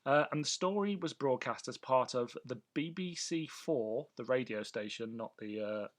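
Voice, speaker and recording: A man's voice: mid-range voice, moderate pace. A man co-hosting a podcast, chatting casually and sharing bits of trivia in an informative way. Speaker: podcast co-host. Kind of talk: casual chat about a vintage TV and radio show.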